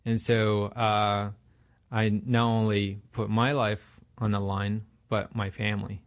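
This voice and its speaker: A man from Iraq, a radio interview guest who answers haltingly with many fillers and restarts. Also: on the low side, little pitch movement. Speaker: guest, ministry leader.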